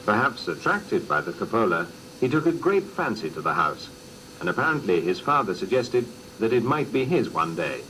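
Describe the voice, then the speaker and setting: Lively male documentary narrator on noisy tape. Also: on the low side, normal speed. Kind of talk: scripted narration. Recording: noisy old cassette recording.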